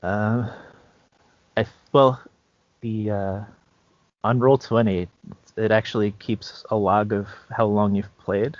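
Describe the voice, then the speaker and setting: Low-voiced man explaining casually, dry sound. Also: steady tone, takes it slowly, pauses often, no echo. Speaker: podcast co-host. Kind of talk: casual podcast chat. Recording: dry, dull lo-fi recording.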